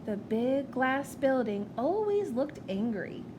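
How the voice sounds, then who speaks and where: Woman doing storytime read aloud, expressive, kinda high voice, noisy background. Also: slow. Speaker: children's story reader. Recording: clear background noise.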